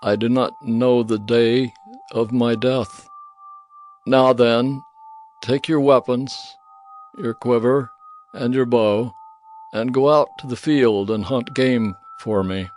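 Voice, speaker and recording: Casting: man to play elderly biblical father, solemn, very unhurried delivery, music bed behind. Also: mid-range voice, steady tone, darkish tone, lightly acted. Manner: slow, deliberate, solemn. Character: old, dim-eyed father Isaac, solemn patriarch. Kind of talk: dramatized Bible audio acting. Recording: music under the voice.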